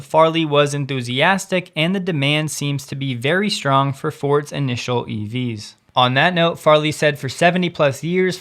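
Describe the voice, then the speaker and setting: A man hosting a scripted news show, explaining things clearly with short personal asides. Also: on the high side, a bit bright. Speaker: host of an electric car news show.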